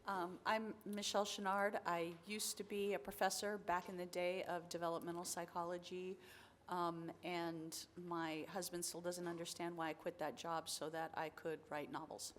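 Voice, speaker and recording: A woman speaks in a low, flat voice from a distance from the mic. Faint room ambience sits behind her. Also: normal speed, slight background noise. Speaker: panelist, novelist.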